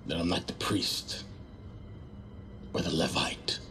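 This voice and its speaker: An actor playing a brooding, ominous crime lord delivering a villain's monologue. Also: male voice, sits low, fairly even intonation, takes it slowly, noisy background. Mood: brooding menace.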